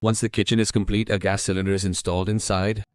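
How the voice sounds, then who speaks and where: A man with a fairly low voice narrates a cartoon family tale. The recording is close and dry, and he tells it as a scripted story. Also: level tone, quick pace, no room sound.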